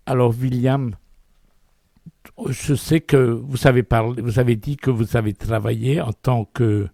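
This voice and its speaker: A man's voice: mid-range voice, fairly even intonation, unhurried, vocal fry, mellow, no echo. A man hosting a conversational interview, putting questions to his guest. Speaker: interviewer, programme host. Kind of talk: conversational radio-style interview.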